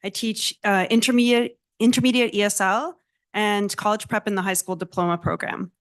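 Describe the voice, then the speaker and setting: Mid-pitched woman teacher with a measured, articulate delivery at a public comment podium. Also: fairly even intonation. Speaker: adult school teacher. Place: board meeting room.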